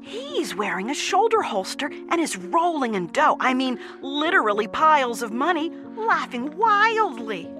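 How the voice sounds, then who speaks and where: Actress as excitable dream watcher, very high and animated, drawn-out theatrical delivery, music behind. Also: female voice. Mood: amused, excited. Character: excitable, amused onlooker describing sleepers' dreams. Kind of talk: radio drama narration.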